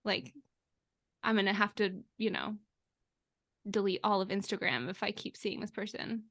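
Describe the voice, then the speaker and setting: A woman with a medium-pitched voice chats as a podcast co-host. She circles toward her points with hesitant, looping phrasing. The recording is dry, with little room sound. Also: animated, moderate pace, a bit bright, dry recording. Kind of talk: casual podcast chat.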